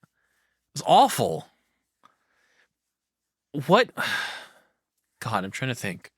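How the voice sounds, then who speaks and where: Man, reaction streamer, casual, blunt, halting, swinging intonation, dry room. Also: mid-range voice, wildly swooping pitch, fairly bright tone, husky, no echo. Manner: blunt, sweary, halting as he thinks aloud. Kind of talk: casual off-the-cuff commentary.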